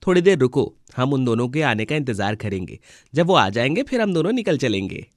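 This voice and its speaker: A man's voice: mid-range voice, very animated, brisk, dry recording, studio quality, lightly acted. A man voicing young brother birds in a children's cartoon, emphatic and boyish. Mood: bored, insistent. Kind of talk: Hindi children's cartoon dialogue.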